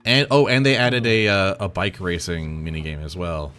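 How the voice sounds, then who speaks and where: Brief: low male gamer who explains things in halting bursts, faint music underneath. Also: fairly even intonation, fairly bright tone. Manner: halting, explains game details with many restarts. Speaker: co-op game player. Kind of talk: casual gaming chat. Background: faint game music.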